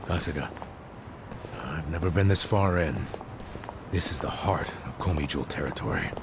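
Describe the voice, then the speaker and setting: An actor playing a gruff middle-aged male sidekick in a video game dub, blunt and wry, with a gravelly voice. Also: a bass voice, animated, normal speed, background noise. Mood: wary. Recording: loud music behind dialogue.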